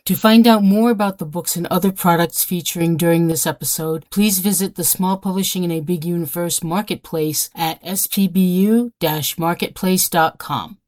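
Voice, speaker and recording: A woman's voice: fairly low pitch, darkish tone, dry recording, clean studio sound. A woman reading a scripted podcast outro as an announcer.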